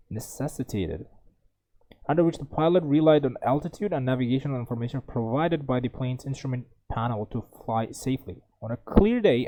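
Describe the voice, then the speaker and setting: Young guy going over a reading test out loud, stumbles and corrects himself a lot, mellow low-key voice. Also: low-quality recording. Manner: halting, many restarts and self-corrections while reading. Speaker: IELTS tutor. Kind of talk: reading aloud and explaining a test passage.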